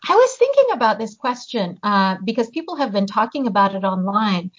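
A woman, born in Hungary, chatting as an interview guest and pausing to search for her words. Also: medium pitch, sing-song, breathy edge. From born in Hungary, raised in the US. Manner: reflective, pauses to search for words. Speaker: guest, novelist and teacher. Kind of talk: casual interview chat. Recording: slightly muffled audio.

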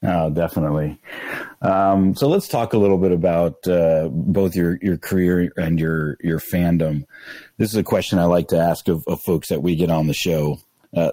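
Very deep, mellow-voiced podcast host. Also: male voice, no echo. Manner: relaxed, loose questions with fillers. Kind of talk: casual podcast interview.

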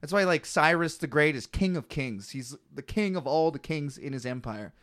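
A man's voice: on the high side, lively intonation, normal speed, a bit bright. A young man co-hosting a casual podcast, reading Bible passages aloud between joking asides. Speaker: podcast co-host reading scripture.

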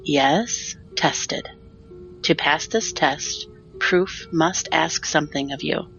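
Audio drama queen and seer female actor: grave, cryptic, formal, with heavy fry, on a dull recording. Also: sits low, slow, fairly bright tone, faint music behind, exaggerated voice. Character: solemn, mysterious fortune-telling queen.

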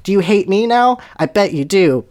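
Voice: medium pitch, animated, moderate pace, a little mellow, voice pushed up, natural voice. A reader voicing upset, self-righteous schoolchildren, shrieking and overwrought, in a comedic fan fiction reading. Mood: taunting.

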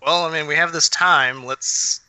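Very bright, forceful man chatting casually. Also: on the high side, fairly even intonation, unhurried, smooth, no room sound. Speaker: podcast co-host. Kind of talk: casual chat.

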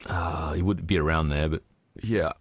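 Aussie bloke podcast host, really low voice, dry room, just chatting. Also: male voice, fairly even intonation, moderate pace, no echo. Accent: Australian. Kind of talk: casual chat about films and sport.

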